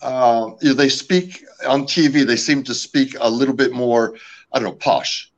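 A man's voice: medium pitch, normal speed, lo-fi sound. A man from California, raised speaking French at home, talking about his company's products in a casual interview, with many fillers and restarts. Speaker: company representative.

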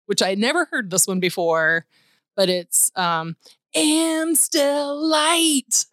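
A woman's voice: high-pitched, lively intonation, takes it slowly, fairly bright tone, no room sound, clean studio sound. A woman hosting a podcast, chatty and bubbly, laughing often as she talks. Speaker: podcast host. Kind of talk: casual chat.

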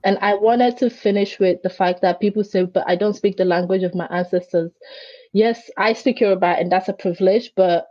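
A woman whose first language is Greek, a guest in an interview who tells stories in an animated way and acts out the voices she quotes. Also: mid-range voice, brisk, dry recording, muffled recording. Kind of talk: conversational interview.

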